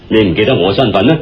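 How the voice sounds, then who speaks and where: A man with a Hong Kong Cantonese accent playing a genial, commanding police chief in a radio drama, speaking in a hearty, deliberate, theatrical way. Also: fairly low pitch, slight background noise, lightly acted. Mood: jovial, hearty. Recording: muffled lo-fi radio drama with music.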